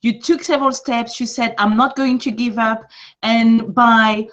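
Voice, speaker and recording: A woman with a fairly high voice hosts a live interview. She answers her guest in an affirming, encouraging way. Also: steady tone, measured pace, fairly bright tone, muffled recording. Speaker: interview host.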